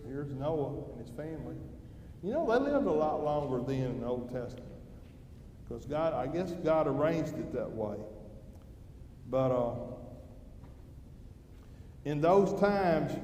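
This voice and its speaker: Older male devotional speaker, folksy and conversational, mellow tone, slightly high pitch. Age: older, grandfather. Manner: folksy, conversational, asks the listeners questions. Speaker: devotional speaker at a church service. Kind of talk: devotional talk. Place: church hall during an evening service. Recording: roomy, echoing hall with background noise.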